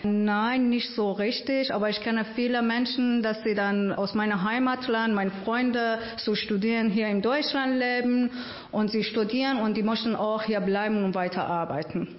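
A woman's voice: a little high, fairly even intonation, normal speed. A woman from Iran who works at an integration agency, answering interview questions in simple, earnest German with small grammar slips. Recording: roomy, dull lo-fi sound.

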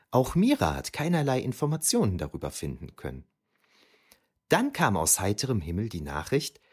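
An actor playing a shaken eyewitness, narrating an audio drama in the first person with deliberate, suspenseful emphasis. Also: male voice, on the low side, husky, full character voice. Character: shaken eyewitness recounting an eerie forest battle.

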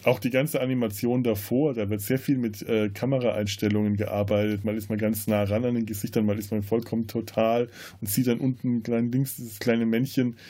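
A man podcast host in a casual film discussion, often halting as he searches for words. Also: mid-range voice, level tone, warm tone.

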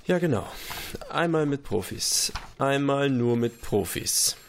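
Man, gravelly, reading aloud, dry sarcastic asides. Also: medium pitch, expressive, measured pace, a little hiss or hum. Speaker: player reading a forensic report. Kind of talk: reading aloud in a tabletop game.

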